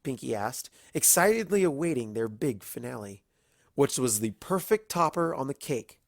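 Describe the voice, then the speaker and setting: A narrator reading a fan-fiction story aloud in an expressive storybook style. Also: medium pitch, normal speed, creaky, no room sound.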